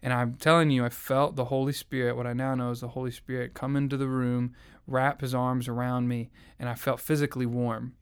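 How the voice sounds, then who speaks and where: A man's voice: mid-range voice, level tone, a little fast, hardly any pauses, smooth. A young man from the South, earnestly and reflectively telling his faith story in an interview. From American South. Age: young adult. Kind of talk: personal testimony in conversation.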